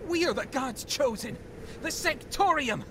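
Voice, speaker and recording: An actor playing an arrogant, hate-filled young noble mage in a fantasy game, haughty and sneering. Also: male voice, very high voice, expressive, measured pace, noisy background. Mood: haughty indignation. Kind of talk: dramatic fantasy game voice acting.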